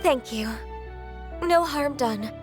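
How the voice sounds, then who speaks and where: A shy, courteous young woman from a video game, in a high voice. The speech is unhurried and tentative, over clear background music. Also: lively intonation. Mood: polite, awkward. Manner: soft-mannered, hesitant, polite. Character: gentle, shy, polite young horned woman.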